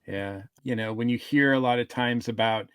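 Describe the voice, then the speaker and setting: A man co-hosting a podcast, giving his opinions casually in a halting, measured way. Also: medium pitch, steady tone, clear, resonant tone, no echo. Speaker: podcast host.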